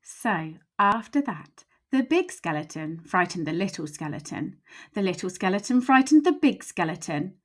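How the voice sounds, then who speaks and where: Expressive woman reading a children's story. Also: medium pitch, normal speed.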